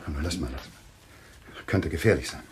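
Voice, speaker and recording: An actor playing a patient, reasonable doctor who takes charge, soothing but firm, in a German thriller radio play. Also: male voice, very deep voice, expressive, unhurried, a little mellow, a little hiss or hum, full character voice. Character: level-headed, patient male doctor taking charge.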